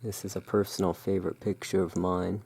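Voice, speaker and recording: A soft-spoken ASMR performer playing the courteous owner of a gothic curiosity emporium, speaking in a hushed, deliberate way. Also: low voice, level tone, vocal fry, husky. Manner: slow, deliberate, hushed sales patter. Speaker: roleplaying shop owner, ASMR host.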